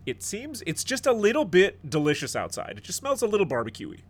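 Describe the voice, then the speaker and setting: A man's voice: sits high, wildly swooping pitch, a little fast. A middle-aged man hosting a podcast in casual chat, a bit stuffed up and sniffly, rambling and joking. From United States. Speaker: podcast host.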